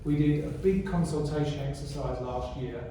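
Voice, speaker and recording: A man giving a talk to a room of colleagues, loose and conversational with plenty of restarts. His voice is mellow and warm. It's picked up from a distance in a very echoey room with a faint hiss. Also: fairly high pitch, normal speed. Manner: relaxed, conversational, many restarts and fillers. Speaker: library strategy presenter. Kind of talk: conference presentation with audience questions. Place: conference room. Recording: echoey room, mic far off, faint noise.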